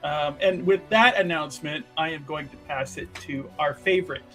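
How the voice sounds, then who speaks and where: A man's voice: a little high, moderate pace, bright, forceful tone, faint music behind. A man presenting a tabletop game show, casually and playfully addressing the audience.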